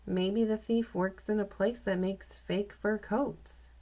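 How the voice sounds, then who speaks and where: A woman's voice: mid-range voice, fairly even intonation, slow, dark tone, natural voice. A woman reading aloud as a chatty, speculating young boy in a children's storybook.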